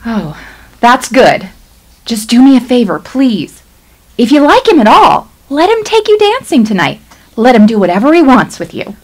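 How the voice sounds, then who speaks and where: Middle-aged wife, radio play, exasperated and insistent, lively highish voice. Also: female voice, normal speed. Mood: pleading, insistent. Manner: insistent, wry. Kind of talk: stage-style radio play acting.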